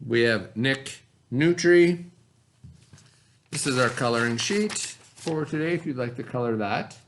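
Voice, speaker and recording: A man's voice: mid-range voice, expressive, a little slow, slight background noise. A man teaching young children in an instructive way, explaining words step by step and checking in as he goes. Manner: instructive, spells and sounds out words, checks with "right?". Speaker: elementary school teacher. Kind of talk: read-aloud lesson for young children, explaining vocabulary.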